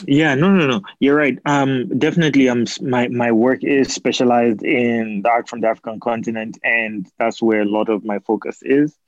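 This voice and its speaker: A young man from Zambia answers interview questions slowly and thoughtfully, pausing on fillers and restarting his sentences. His voice sits at a middling pitch. The recording is dry and a little dull. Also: steady tone, no echo. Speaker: artist and PhD student.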